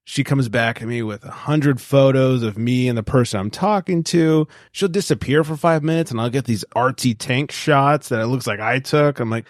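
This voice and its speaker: A man's voice: medium pitch, moderate pace, few pauses, dry recording, clean studio sound. A man chatting as a podcast guest, laughing often and acting out quoted voices. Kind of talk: casual chat.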